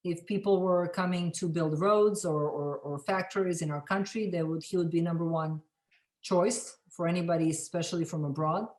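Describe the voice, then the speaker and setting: A middle-aged woman from Serbia with a Serbian accent, telling her story as an interview guest, halting often and restarting her sentences. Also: fairly low pitch, fairly even intonation, a little mellow. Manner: halting, many restarts and fillers. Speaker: film director, interview guest. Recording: echoey room.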